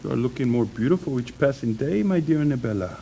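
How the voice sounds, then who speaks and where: A smooth, menacing treasure-hungry villain in a game cutscene. The delivery is animated and falsely courteous. The voice echoes through a long reverb with music behind it. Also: male voice, mid-range voice, fast talker. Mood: oily, mock-polite. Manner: oily, mock-polite, commanding. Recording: echoey hall reverb under loud music.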